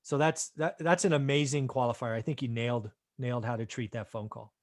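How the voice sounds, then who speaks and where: A man's voice: medium pitch, fairly even intonation, fairly quick. A man, a real estate investor and host, giving conversational business commentary in an explanatory, affirming way, often checking in with a short question.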